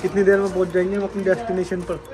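High-voiced young vlogger guy talking to camera. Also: little pitch movement, racing, crowd noise. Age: young adult. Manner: chatty, addresses viewers directly. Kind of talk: casual travel vlog. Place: roadside at night, outdoors. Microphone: phone camera at arm's length.

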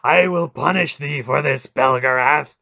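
A man voicing a vast, grieving and vengeful god with loud, archaic, menacing speech in a storybook reading. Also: mid-range voice, slow pace, vocal fry, no echo, dull audio. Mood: vengeful fury. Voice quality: loud, roaring, howling.

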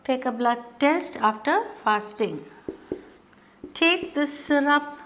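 A middle-aged woman teaching a beginners' lesson at a whiteboard, explaining terms slowly and checking in with frequent "okay". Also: high voice, expressive, a bit bright. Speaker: English teacher. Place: studio classroom with whiteboard.

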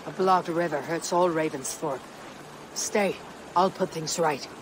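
A low, husky Viking warrior woman speaks over clear background noise. She is blunt and self-assured, a person of action who keeps her phrases terse and plain. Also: unhurried. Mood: firm. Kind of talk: video game cutscene acting. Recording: outdoor ambience noise behind the voice.

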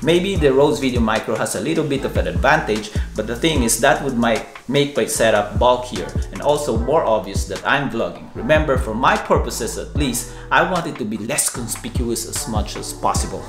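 A man's voice: medium pitch, lively intonation, moderate pace, hardly any pauses, roomy sound, over music. A man in his forties with a Filipino accent, reviewing gear in a casual, candid home vlog. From Philippines. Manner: candid, blunt opinions. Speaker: tech vlogger. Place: living room at home.

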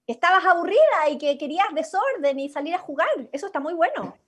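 Workshop director: Chilean woman, high-pitched, animated, encouraging, on a video call. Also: fast talker. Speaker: workshop facilitator directing radio play. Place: indoors at home, video call. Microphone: computer mic on video call.

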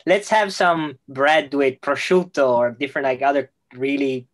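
A man's voice: mid-range voice, moderate pace. A young man with an Italian accent and Italian as his first language, a guest on a casual video call, speaking hesitantly and searching for words. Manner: hesitant, many fillers and restarts. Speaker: guest cook. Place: home room with bookshelves. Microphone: video call, webcam.

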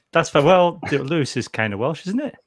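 A man's voice: on the high side, sing-song, unhurried, a bit bright, slightly rough, no room sound. A man, hosting a podcast in a casual, chatty way. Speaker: podcast host. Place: indoor room at home on a video call. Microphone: close desk microphone.

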